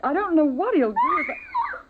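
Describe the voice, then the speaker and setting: A woman's voice: extremely high pitch, huge pitch swings, normal speed, heavy background noise, full character voice. A woman playing a fretful but firm suburban mother and wife, fussing in an old-time radio drama. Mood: fussing, uneasy. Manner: fussing, chatty, exasperated with her child. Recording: narrow, tinny vintage radio recording.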